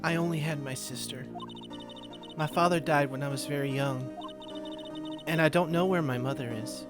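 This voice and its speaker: Game dub: soft-spoken orphaned girl medium in early adulthood played by a man, raised pitch, earnest, sad, over prominent music. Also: normal speed, natural voice. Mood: forlorn. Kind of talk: amateur video game voice-over.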